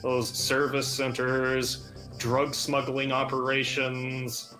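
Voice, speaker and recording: A man's voice: medium pitch, monotone, slow pace, pushed and bright, faint music behind, rough recording. A man role-playing a private military contractor, blunt and swearing, drawling out long lists. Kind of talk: in-character game roleplay.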